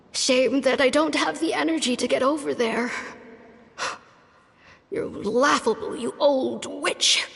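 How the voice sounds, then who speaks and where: An actress voicing an ailing old woman doctor called a witch, sighing and coughing as she talks. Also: vocal fry. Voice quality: tired, low-energy voice. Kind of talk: video game flashback dialogue. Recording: long hall reverb. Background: soft music.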